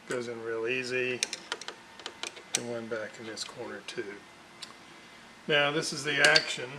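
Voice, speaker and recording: A man giving an unscripted hands-on workbench demonstration as a hobbyist restorer, haltingly thinking aloud as he works. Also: medium pitch, sharp tone. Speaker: hobbyist restorer presenting a repair video. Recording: noisy room recording with clear background noise.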